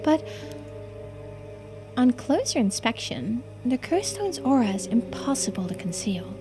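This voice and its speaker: A serious, watchful companion in a mystery game, voiced by a woman reading aloud. The high voice moves expressively through careful, urgent warnings, with music behind it. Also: big pitch swings, unhurried. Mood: analytical, cautious. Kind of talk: video game character dialogue read-aloud.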